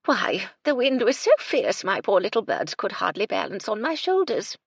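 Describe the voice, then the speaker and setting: A narrator doing a high, chirping elderly lady. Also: very animated, moderate pace, gravelly, husky, no room sound, studio quality, exaggerated voice. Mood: chirpy. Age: older. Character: eccentric elderly widow, chirpy and grand.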